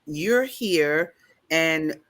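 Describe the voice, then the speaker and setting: A New woman hosting a video-call talk show, asking long, animated, rambling questions. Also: low voice, drawn-out words. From New York. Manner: rambling, animated, talks with her hands in long run-on questions. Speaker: host. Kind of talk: casual interview question.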